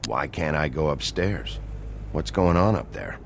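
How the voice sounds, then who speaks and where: An actor playing a gruff, world-weary detective in a noir video game, gravelly, terse and deadpan. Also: male voice, a bass voice, a little slow, a little hiss or hum, full character voice. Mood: dry, probing. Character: gruff, hard-boiled male detective, world-weary and blunt. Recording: music under the voice.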